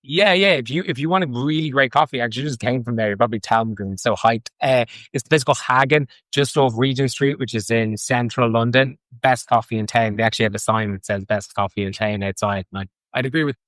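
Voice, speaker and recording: A man from Ireland with an Irish accent, a guest in an interview, talking fast and eagerly with frequent restarts. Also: mid-range voice, fairly bright tone, dry recording. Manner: enthusiastic, fast, repeats words and restarts. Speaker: guest, fintech co-founder. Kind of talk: casual interview talk. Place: London.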